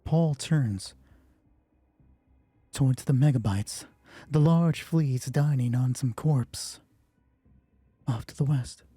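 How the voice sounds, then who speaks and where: A man's voice: medium pitch, takes it slowly, long pauses, dark tone, slightly rough, no echo. A man narrating a solo tabletop roleplay as game master, informal and moving between dramatic storytelling and thinking aloud.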